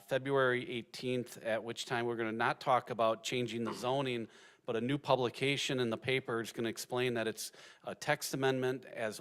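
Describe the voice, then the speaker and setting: A man's voice: mid-range voice, clear, resonant tone. A man on city staff explaining a recommendation to the council in a plain, explanatory way. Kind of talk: staff report at a council meeting. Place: council chambers.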